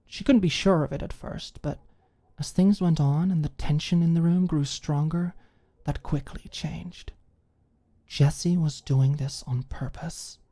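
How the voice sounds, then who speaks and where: A woman's voice: low-pitched, steady tone, unhurried, warm tone. A woman narrating a scripted story in the style of an ASMR audiobook.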